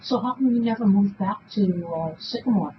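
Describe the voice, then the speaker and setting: A woman interviewer is heard on a dull recording with clear background noise. She puts her questions conversationally and informally, in a medium-pitched voice. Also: normal speed. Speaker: police polygraph examiner, interviewer. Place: small police interview room. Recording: muffled, noisy interrogation room recording.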